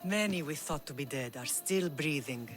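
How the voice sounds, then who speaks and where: A woman's voice: low voice, expressive, moderate pace. A resolute Spartan mother in a game cutscene, middle-aged and proud. She instructs firmly and solemnly over faint music. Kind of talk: dramatic video game cutscene dialogue.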